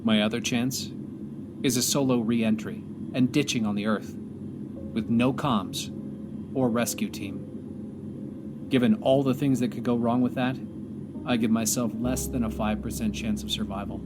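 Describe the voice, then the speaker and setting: A man playing a stranded astronaut commander, reflective and hopeful, delivering a solemn, measured audio drama monologue with pauses. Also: medium pitch, fairly even intonation, background noise, lightly acted. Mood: reflective, resolute. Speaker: narrator and lead of an audio drama. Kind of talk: dramatic first-person monologue. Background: music.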